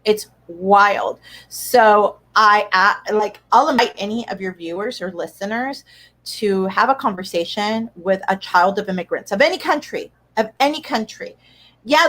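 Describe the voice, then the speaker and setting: A woman filmmaker talking as a guest in a casual interview, thoughtful and pausing often with "um.". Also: medium pitch, fairly bright tone. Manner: thoughtful, hesitant with frequent "um"s.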